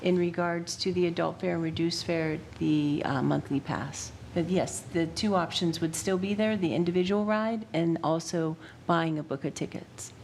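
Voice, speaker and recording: A woman with a lower-pitched voice answers a committee question in a calm, matter-of-fact way. She is from the transit staff. A faint hiss sits under her voice. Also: normal speed, vocal fry, hardly any pauses. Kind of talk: public meeting discussion.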